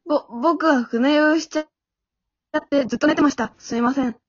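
A woman's voice: high-pitched, fairly quick, natural voice. A woman playing a timid, frail young male dog who speaks politely and hesitantly, in an amateur comedy radio drama. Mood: meek, apologetic. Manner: hesitant, stammering, apologetic. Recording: dull lo-fi audio.

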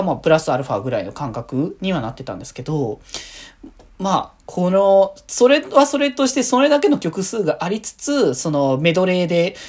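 A woman hosts a casual solo fan chat in a low-pitched, husky voice. Her intonation moves widely up and down. She rambles along with gushing, excited enthusiasm. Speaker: podcast host.

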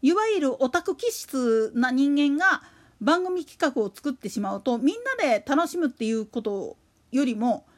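A woman hosts a solo show in a high-pitched voice. Her intonation swings widely as she talks. The delivery is a casual monologue, full of colloquial, slangy turns. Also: expressive, moderate pace. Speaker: solo podcast host. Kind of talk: casual monologue about a radio announcer.

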